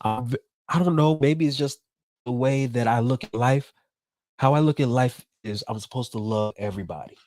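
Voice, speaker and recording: A man's voice: mid-range voice, normal speed. A man from Nigeria in casual podcast chat, telling stories animatedly and laughing often. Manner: animated storyteller, laughs often, acts out voices. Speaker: podcast host.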